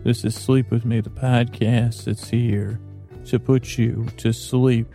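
A man hosting a sleep podcast, talking in a slow, rambling, meandering way that drifts off topic and keeps interrupting itself. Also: mid-range voice, little pitch movement, gravelly, dark tone, soft background music. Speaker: podcast host. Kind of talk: rambling bedtime monologue.

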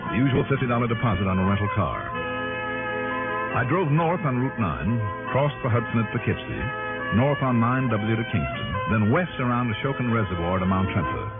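An actor playing a shrewd, easygoing investigator who narrates and talks wryly in a 1960s radio drama. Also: male voice, mid-range voice, sing-song, a little fast. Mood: brisk, wry. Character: shrewd, easygoing male freelance investigator and keen fisherman. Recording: tinny vintage radio, loud music.

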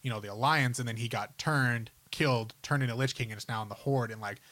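A man's voice: mid-range voice, fast talker, a bit bright, dry recording. A man co-hosting a podcast, chatting informally and giving opinionated, lengthy explanations about films and games. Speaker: podcast host.

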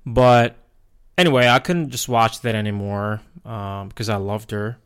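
A man with a Korean accent and native Korean, telling personal stories casually in a halting, reflective way, searching for words. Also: lowish voice, steady tone, fairly bright tone, clean tone. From South Korea. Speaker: podcast host.

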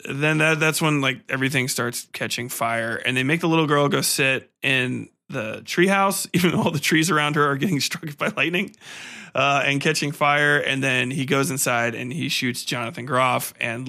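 A man's voice: mid-range voice, moderate pace, creaky, sharp tone. A man hosting a podcast in a casual, rambling film-review rant, profane, exasperated and mocking.

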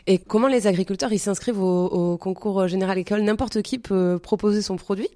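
A woman's voice: mid-range voice, a little fast, dark tone, dry recording, studio quality. A woman hosting a podcast, asking guests questions in an interview. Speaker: podcast host.

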